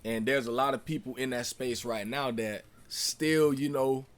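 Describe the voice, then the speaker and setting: A man's voice: mid-range voice, fairly even intonation, unhurried. A man hosting a podcast in casual conversation, speaking earnestly and often affirming his guest. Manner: casual, heartfelt, frequent affirmations. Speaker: podcast host.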